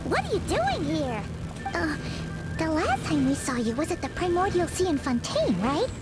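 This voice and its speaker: A woman voicing a small, childlike fairy companion in a video game, squeaky, chatty and loyal, speaking of herself in the third person. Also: sing-song, moderate pace, over loud music. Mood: surprised, curious.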